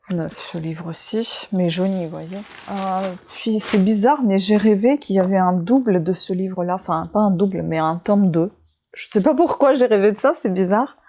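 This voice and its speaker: A woman's voice: medium pitch, a little mellow. A woman in her forties with a foreign accent in French, a vlogger chatting casually as she reads out book titles and adds asides. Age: 40s. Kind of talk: casual chat.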